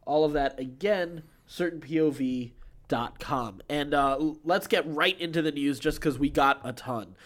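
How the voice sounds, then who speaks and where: A man's voice: on the high side, normal speed. A man hosting a podcast, reading entertainment news with informal, enthusiastic, chatty asides. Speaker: podcast host.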